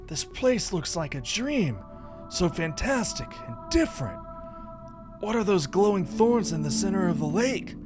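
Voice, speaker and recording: An eager, bookish fantasy traveler played by a man reading a visual novel aloud, pitched high and full of wonder. The delivery is excited and curious, with music playing behind it. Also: a little slow. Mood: amazed. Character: eager, bookish male travel companion, easily awed.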